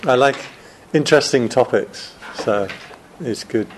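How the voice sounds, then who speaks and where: A man's voice: mid-range voice, unhurried, a little hiss or hum, poor audio. A man, a Buddhist monk, giving a chatty Dharma talk to a room of students, joking and laughing often.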